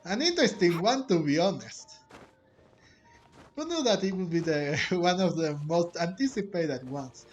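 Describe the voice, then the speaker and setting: A man plays through a story game and comments casually. His voice is a bit high for a man, and he rambles in halting phrases. Soft music runs behind him. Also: expressive, muffled recording. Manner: rambling, halting, frequent chuckles. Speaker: gaming streamer playing a story quest.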